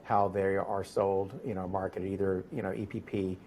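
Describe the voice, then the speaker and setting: A man hosting a conversational podcast interview, phrasing things in a halting, roundabout way. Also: deep voice, little pitch movement, a little mellow, slight background noise.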